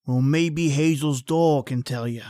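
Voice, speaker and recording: An actor playing a two-faced killer who poses as a friend, theatrical and taunting, in an old-time radio thriller. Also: male voice, a little high, measured pace, dark tone, no room sound, studio quality, natural voice. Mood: cold menace.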